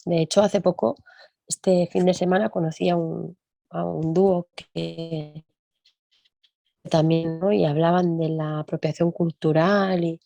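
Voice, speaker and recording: Low, mellow-voiced woman musing aloud. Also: steady tone, a little slow, creaky. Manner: reflective, thinks aloud with frequent tag questions. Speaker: music teacher and percussionist guest. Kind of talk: conversational interview.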